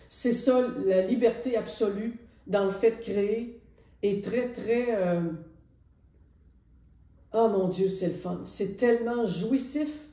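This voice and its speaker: Woman, Quebec French accent, middle-aged, hesitant and reflective, mellow tone, echoey room. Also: mid-range voice. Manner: reflective, hesitant, with many pauses. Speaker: painter presenting her artwork. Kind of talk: casual spoken art presentation. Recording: roomy echo.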